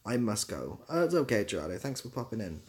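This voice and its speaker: A man's voice: fairly low pitch, very animated, fast, heavy background noise. A young man hosting a casual livestream, reading out comments and giving dry, self-deprecating, rambling answers. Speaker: livestream host.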